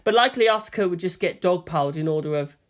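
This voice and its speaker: A male narrator reads a story aloud in an expressive audiobook style. His pitch is very lively, rising and falling widely through the prose. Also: fast talker.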